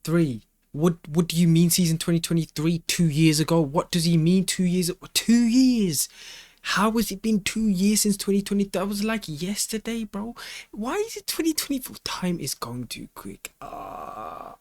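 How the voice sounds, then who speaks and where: A man's voice: high-pitched, expressive, normal speed, a little mellow, dry recording. Male reaction host, informal and slangy, repeats himself, close dry sound. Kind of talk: casual reaction commentary.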